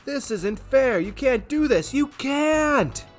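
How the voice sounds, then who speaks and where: A man voicing a stubborn, practical young boy who answers in curt, flat refusals in a storybook reading. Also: mid-range voice, normal speed, faint music behind, lightly acted. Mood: desperate.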